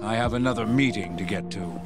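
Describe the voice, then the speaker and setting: A man's voice: lowish voice, fairly quick, natural voice. Man playing a haughty middle-aged official. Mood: aloof. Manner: formal, aloof. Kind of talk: video game cutscene acting. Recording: music behind the voice.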